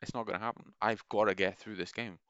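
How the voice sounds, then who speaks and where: Man, very low smooth voice, casual enthusiastic rambler, dry dull lo-fi sound. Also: fairly even intonation, fast, bright, forceful tone, dry recording. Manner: meandering, enthusiastic, many restarts. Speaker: gaming video creator.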